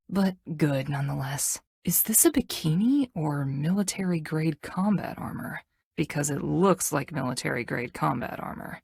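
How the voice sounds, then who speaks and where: Low, husky, brooding tough game heroine. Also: female voice, no echo, heavily acted. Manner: dry, deadpan, halting when flirting. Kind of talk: video game character dialogue.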